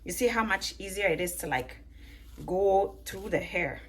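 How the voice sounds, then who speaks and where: A woman's voice: medium pitch, moderate pace, slight background noise. A woman in her thirties with a mixed Ghanaian, Italian, British and American accent, chatting and joking to camera at home while detangling her hair. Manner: chatty, joking, laughs often, asks viewers questions. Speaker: vlogger.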